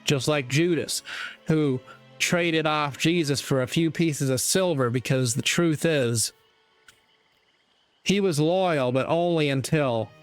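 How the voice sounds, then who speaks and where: Clear-voiced man delivering a moral monologue. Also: fairly high pitch. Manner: deliberate, halting phrasing with rhetorical asides. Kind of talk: opinion monologue, preachy commentary.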